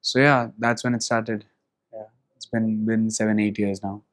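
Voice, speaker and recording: A man's voice: on the low side, little pitch movement, normal speed. A young Indian man with an Indian English accent, an actor being interviewed, chatting animatedly with frequent laughs and fillers. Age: young adult. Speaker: actor guest. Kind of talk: casual interview, mixing English and Hindi.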